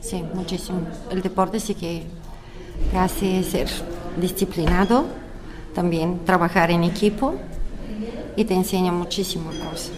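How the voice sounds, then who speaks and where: A young woman from Bulgaria with a Bulgarian accent, answering interview questions in slightly halting non-native Spanish. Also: on the low side, steady tone, a crowd in the background. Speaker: interview guest.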